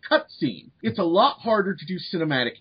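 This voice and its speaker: High-pitched husky man in emphatic debate. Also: very animated, fairly quick, lo-fi sound. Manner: emphatic, repeats and rephrases points. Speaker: debate show panelist. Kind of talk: casual opinionated discussion of games and film.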